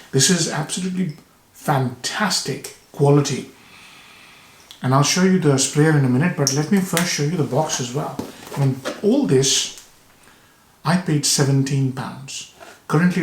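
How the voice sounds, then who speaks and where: A man with a cold, hoarse and with a blocked nose, reviewing a fragrance to camera with enthusiasm, repeating words and pausing for breath. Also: medium pitch. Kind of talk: casual product review to camera. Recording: echoey room.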